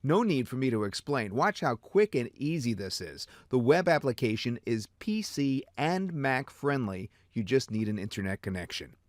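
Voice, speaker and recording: A man narrates a software demo in a lively, animated voice, leading the listener through each step. The sound is dry and close, with no room around it. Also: medium pitch, normal speed, few pauses, no echo. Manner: step-by-step, instructive.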